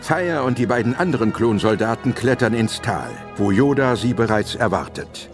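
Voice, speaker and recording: A man narrates an adventure audio drama. His voice is mid-range and the delivery is dramatic and scripted, with music clearly audible underneath. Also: medium pitch, lively intonation, moderate pace.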